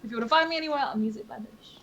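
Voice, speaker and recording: A woman's voice: on the high side, rapid-fire, highs cut off. A woman whose mother tongue is Tamil, chatting casually and informally as a singer-songwriter introducing her own songs, with a giggly, like-peppered manner. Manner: informal, giggly, uses "like" often. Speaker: singer-songwriter and producer, festival guest performer.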